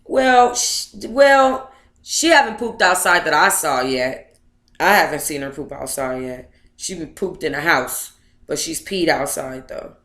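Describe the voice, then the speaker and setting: Bright-voiced woman chatting in an echoey room. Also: low voice, animated, unhurried. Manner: playful, slangy, chatty, talks to viewers. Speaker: livestream host reading their own book aloud. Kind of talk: casual livestream chat with read-aloud.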